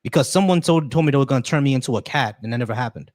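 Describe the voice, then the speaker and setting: A man hosting a call-in stream, arguing quickly and repeating phrases to make his point. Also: medium pitch, animated, a little mellow, no echo, studio quality. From United States. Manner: rapid, argumentative, repeats phrases. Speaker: host. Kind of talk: casual debate on a call-in stream.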